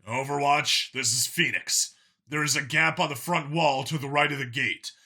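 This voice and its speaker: A terse, decisive soldier, played by a male narrator gives orders to his commandos. The voice is forceful and bright in a sci-fi audiobook style. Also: medium pitch, moderate pace. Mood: focused, commanding.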